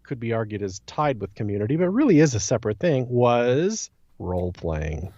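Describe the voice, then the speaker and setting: Animated man in a roomy, dull recording. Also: mid-range voice, normal speed. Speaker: podcast co-host. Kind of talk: casual podcast discussion. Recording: slightly dull lo-fi, roomy echo.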